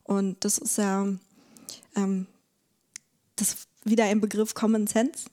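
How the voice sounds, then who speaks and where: Mellow-voiced woman explaining hesitantly. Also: medium pitch, level tone, slow. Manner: hesitant, searching for words, explanatory. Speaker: interview guest, legal expert. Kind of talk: conversational explanation in a podcast interview.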